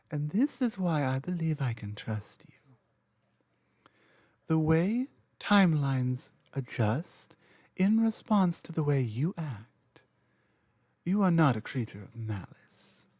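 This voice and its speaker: A woman voicing an ancient, dominant female dragon queen in an ASMR roleplay audio, speaking in slow, formal sentences that sometimes drop to a whisper. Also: low-pitched, no echo, full character voice. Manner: slow, measured, formal speech with soft whispered moments.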